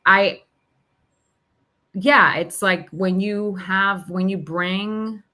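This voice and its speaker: Slow, bright-voiced woman reflecting. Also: medium pitch, fairly even intonation. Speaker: podcast host sharing personal reflections. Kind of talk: reflective, casual spiritual conversation.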